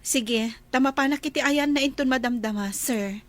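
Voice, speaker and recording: A woman's voice: high-pitched, normal speed, close to an everyday voice. An actress playing a polite, caring young woman working in a household in a radio drama.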